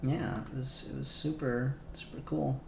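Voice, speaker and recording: A man chatting casually on a livestream, muttering software settings aloud and chuckling as he works. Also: mid-range voice, steady tone, unhurried, creaky, roomy sound, slight background noise. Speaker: streamer doing 3D render work.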